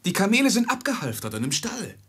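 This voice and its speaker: An actor playing a loyal, anxious manservant in a 1950s radio play, with a husky voice and deferential, urgent manner. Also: male voice, high voice, wildly swooping pitch, fast, fairly bright tone, exaggerated voice. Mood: concerned. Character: loyal, anxious male servant of a caravan merchant.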